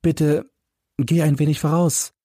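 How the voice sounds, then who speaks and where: Audiobook role: shaken wife read by a man, trembling yet composed, higher pitch, husky, dry room. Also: little pitch movement, a little slow, mellow, no echo. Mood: controlled, anxious. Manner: trembling but trying to sound firm. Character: pale, shaken married woman hiding her fear. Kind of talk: audiobook character acting.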